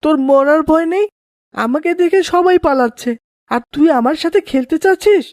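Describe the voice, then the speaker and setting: A woman's voice: high-pitched, lively intonation, normal speed, darkish tone, no room sound, studio quality. A woman voicing a scornful young schoolboy, a rude older brother, in a children's cartoon. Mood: sharp, upset. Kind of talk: children's moral cartoon voice acting.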